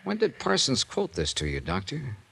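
An actor playing a probing, coolly businesslike insurance investigator in a 1950s American radio drama, with measured, clipped questioning. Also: male voice, mid-range voice, wildly swooping pitch, vocal fry, bright, forceful tone, husky, full character voice. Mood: intent. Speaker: star of a radio detective drama. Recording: 1950s radio recording with music.

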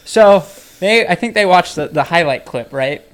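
A man's voice: sits high, animated. A man co-hosting a podcast in casual chat, telling rambling stories full of restarts. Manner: rambling storyteller, halting restarts. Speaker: podcast co-host.

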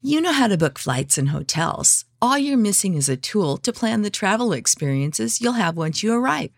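A woman's voice: medium pitch, big pitch swings, moderate pace, creaky. A woman announcer delivering scripted advertisement narration.

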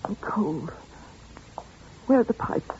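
An actress playing a frightened young governess, trapped by a killer, pleading breathlessly in an old radio drama. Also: female voice, medium pitch, lively intonation, slow, warm tone, a little hiss or hum. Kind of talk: 1940s radio acting. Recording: tinny, thin old radio recording.

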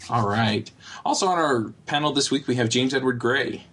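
A man hosting a casual panel podcast, talking in a relaxed, rambling way with filler words. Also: mid-range voice, normal speed, breathy edge. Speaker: podcast host.

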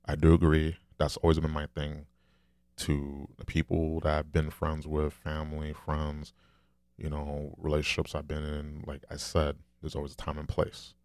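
A man with a deep, low, soft voice, talking candidly and rambling in a casual solo monologue, swearing now and then. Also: monotone, moderate pace, dry recording, studio quality.

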